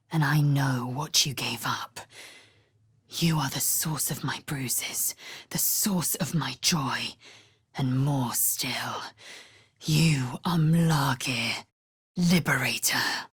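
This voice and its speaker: A woman playing a proud, fierce githyanki warrior in a video game, with a husky, raspy voice and a clipped, formal, commanding way of talking. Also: rumbling low, takes it slowly, long pauses, no echo, studio quality, heavily acted. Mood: hushed, grave. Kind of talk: video game character voice lines.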